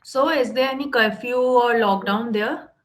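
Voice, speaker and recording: A woman's voice: fairly high pitch, normal speed. Encouraging Indian woman English tutor. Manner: encouraging, repeats "okay" and "that's great". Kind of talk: tutoring session.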